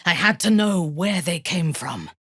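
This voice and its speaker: A woman playing a ruthless, imperious female dark elf warrior in a video game, with commanding, disdainful and measured delivery. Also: lowish voice, expressive, dry recording, studio quality. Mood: grave, proud. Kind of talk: video game character acting.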